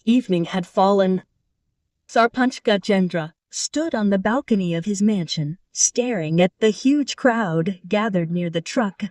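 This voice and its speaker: A woman's voice: medium pitch, a little slow, a little mellow, no room sound, far from the mic. A woman reading scripted storybook narration.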